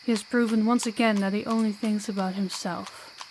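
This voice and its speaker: Girl acting as proud young princess, mid pitch, blunt n formal, audio rp, bit of noise. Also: fairly even intonation, fairly quick, darkish tone, lightly acted, poor audio. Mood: earnest, resolute.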